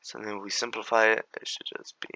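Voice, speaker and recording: A young man with a raspy voice, walking through calculus problems in a slangy, laid-back way and sometimes mumbling steps under his breath. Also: on the low side, little pitch movement, a little fast, sharp tone, no room sound, low-quality recording. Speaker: math tutor. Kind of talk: casual tutoring walkthrough.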